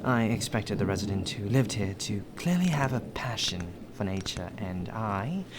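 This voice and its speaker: A man's voice: on the low side, lively intonation, moderate pace, lightly acted. A man playing a polite, deferential butler in an ASMR roleplay, with formal, flowery phrasing. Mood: polite, chatty. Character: courteous, well-spoken male butler, deferential and appreciative. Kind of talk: ASMR roleplay acting, addressed to the listener. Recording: rain ambience and clear background noise.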